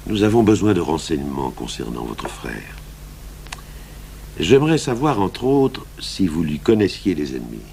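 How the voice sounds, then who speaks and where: Seasoned police commissioner, very low voice, terse and unhurried questioning, vintage radio crime serial. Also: male voice, big pitch swings, gravelly, darkish tone, full character voice. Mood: polite, probing. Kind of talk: 1980s French radio crime drama acting. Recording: faint noise, old radio broadcast recording.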